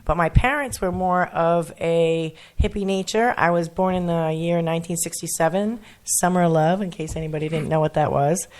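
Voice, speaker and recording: A woman in her forties with a Rhode Island accent talks earnestly as a radio guest. Her voice sits a bit low, and she laughs easily between her thoughts. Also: normal speed, hardly any pauses, darkish tone. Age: 40s. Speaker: guest, children's meditation teacher. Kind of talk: casual radio interview.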